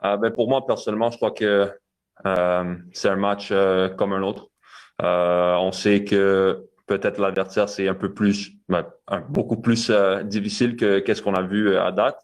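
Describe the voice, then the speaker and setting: A man's voice: lowish voice, steady tone, a little slow. A young man, a goalkeeper answering press questions with many fillers and restarts.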